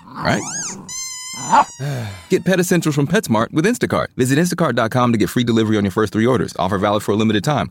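A man's voice: medium pitch, a little hiss or hum. A man reading a scripted ad in a playful, self-mocking way. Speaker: ad announcer. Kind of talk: scripted radio ad read.

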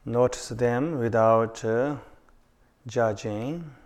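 A man leading a guided meditation calmly and without hurry, in short phrases with long pauses. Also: medium pitch.

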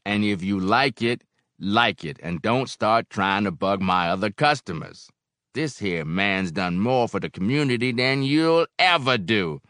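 A man voicing a big barkeeper in an audiobook, folksy and unhurried, genial yet gloomy and firm. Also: fairly low pitch, lively intonation, fairly bright tone, no room sound, clean studio sound, pitched above the performer's own voice. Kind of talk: audiobook character acting.

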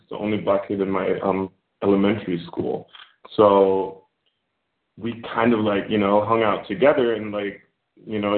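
A man from Germany, a filmmaker guest, talking reflectively in a casual interview with many pauses and fillers. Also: fairly low pitch. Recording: phone-like echoey remote call.

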